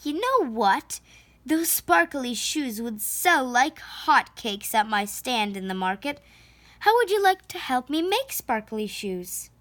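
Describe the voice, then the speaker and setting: Enthusiastic, encouraging storybook artist woman played by a female reader, bright-spirited, raised pitch. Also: sing-song, slow pace, heavily acted. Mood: excited, encouraging. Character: friendly, enthusiastic woman artist and market trader.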